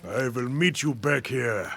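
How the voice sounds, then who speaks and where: Gravelly, jovial adventurer. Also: male voice, medium pitch, a little slow, background noise. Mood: upbeat, resolute. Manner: jovial, grandiose, teasing. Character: cheerful eager adventurer, a seasoned male monster hunter and mentor. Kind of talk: theatrical video game character acting.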